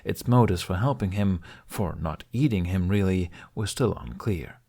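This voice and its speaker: Man, low voice, audiobook narrator, scripted storytelling, fantasy fiction. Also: animated, moderate pace, warm tone, breathy edge.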